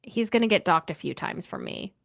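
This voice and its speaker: A woman's voice: lowish voice, lively intonation, fairly quick, vocal fry, fairly bright tone. A woman co-hosting a book podcast in casual chat, speaking in an informal, opinionated and emphatic way.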